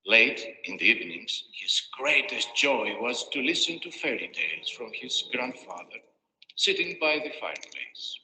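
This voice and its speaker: A man's voice: medium pitch, big pitch swings, moderate pace, sharp tone, slight background noise. A man narrating a scripted fairy tale as a storyteller, with a dramatic storybook delivery. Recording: echoey reverberant playback with music. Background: music.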